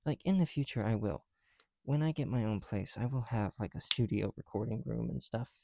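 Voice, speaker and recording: A young man chatting casually in soft, breathy ASMR style, often dropping to a whisper, slightly breathless behind a mask, with a rambling, self-deprecating manner. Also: mid-range voice, fairly quick. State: somewhat breathless through a heavy mask. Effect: speaking through a mask. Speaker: ASMR video creator. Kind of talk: ASMR, casual chat while building bricks. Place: indoors, at home.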